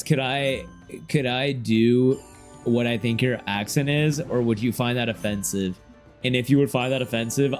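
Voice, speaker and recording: A young man streaming a game and chatting casually with his viewers, rambling in heavy slang and often trailing off quieter. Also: mid-range voice. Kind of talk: casual livestream chat, reading chat aloud. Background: faint game music.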